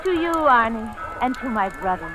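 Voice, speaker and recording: A woman's voice: a little high, very animated, over music. An actress playing a solemn, devoted Scandinavian village woman in a formal, emphatic 1950s radio drama style. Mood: solemn, fervent. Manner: slow, formal, emphatic delivery. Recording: noisy old radio broadcast recording.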